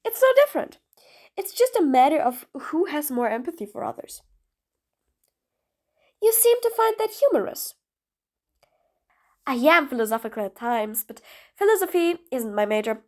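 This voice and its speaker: A woman playing a sly, impatient supervillain in a scripted audio roleplay, speaking in a theatrical, mocking way with formal, wordy phrasing. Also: sits high.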